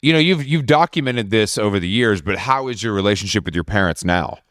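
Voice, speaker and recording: A man's voice: lowish voice, brisk, no room sound, studio quality. A man hosting a podcast in casual chat, wry and teasing. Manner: wry, teasing, lively intonation. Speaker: podcast host.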